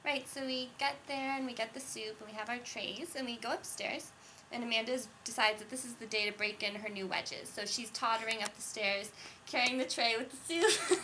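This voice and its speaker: A woman guest on a podcast, telling a story in a casual, animated way and laughing often. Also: a little high, slight background noise, poor audio. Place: indoors.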